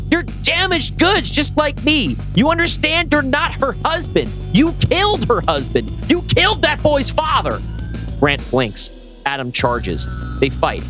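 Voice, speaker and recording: A man reading screenplay action as scripted narration, with a dramatic, suspenseful delivery over music. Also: medium pitch. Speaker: podcast host reading his script.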